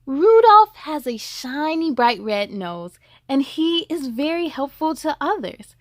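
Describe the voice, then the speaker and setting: A woman voicing an upbeat, proud elf in a children's storybook, with emphatic, animated delivery. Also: high voice, slow, exaggerated voice. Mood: bright, admiring. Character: upbeat elf proudly presenting Santa's reindeer.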